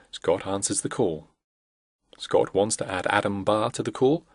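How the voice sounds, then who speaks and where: A man with a lowish, gravelly voice narrates a corporate product demo. His delivery is measured and instructional but moves through lively rises and falls in pitch. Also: no echo, clean studio sound.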